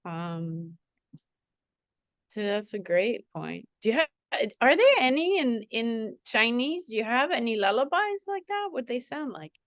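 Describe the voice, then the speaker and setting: A woman's voice: on the high side, big pitch swings, measured pace, a bit bright, no echo, studio quality. A woman moderating a panel conversation, speaking reflectively with frequent restarts and fillers. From partly raised in Switzerland. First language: bilingual, French second language.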